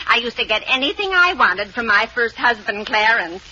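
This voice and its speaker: Chatty maid, sharp bright tone, old lo-fi radio. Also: female voice, a little high, moderate pace, exaggerated voice. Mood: gossipy. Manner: gossipy, deadpan. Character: chatty older female housemaid Katie. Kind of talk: 1940s radio sitcom acting. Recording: muffled old radio broadcast.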